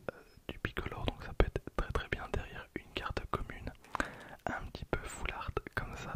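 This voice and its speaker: A man in his late twenties whispering ASMR commentary softly and unhurriedly, close to the microphone. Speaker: ASMR video creator opening trading cards. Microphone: close handheld stereo recorder.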